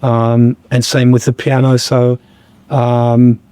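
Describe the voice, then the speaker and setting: A man, a guest musician, talking reflectively in a podcast conversation, a bit croaky, halting with many fillers and restarts. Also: medium pitch, completely flat delivery, warm tone, no room sound. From Australia.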